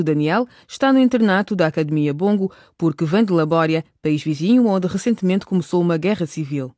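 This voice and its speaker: A man's voice: a little high, darkish tone, no echo, clean studio sound. A man reading scripted narration as the storyteller of a radio drama.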